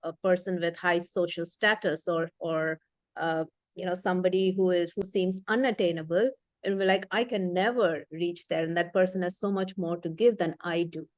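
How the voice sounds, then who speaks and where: A woman's voice: on the low side, steady tone, moderate pace, no room sound. A woman leadership coach explaining concepts thoughtfully in conversation, with frequent fillers and restarts.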